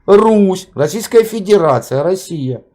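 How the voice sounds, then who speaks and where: Lively, confrontational arguing man. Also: sits high, a little slow. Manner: confrontational, mocking, presses with repeated questions. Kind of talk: heated argumentative chat.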